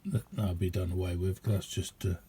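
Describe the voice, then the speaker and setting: A man giving a casual, rambling hands-on demonstration as a radio hobbyist, chatty and hesitant in an unhurried way. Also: low voice, fairly even intonation, warm tone, slight background noise.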